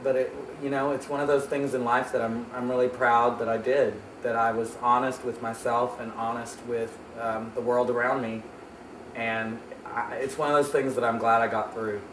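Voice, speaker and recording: Thirtyish guy, halting, lots of ums, mic kinda far off, roomy, noisy. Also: medium pitch, level tone. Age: 30s. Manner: hesitant, many ums and restarts. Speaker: interviewee. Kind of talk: reflective interview answers. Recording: roomy, distant mic, background noise.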